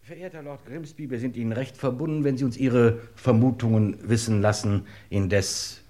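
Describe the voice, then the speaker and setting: A man's voice: medium pitch, moderate pace, warm tone, natural voice. A man playing a composed, probing chief inspector in a radio crime play, polite and formal. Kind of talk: old German radio play acting.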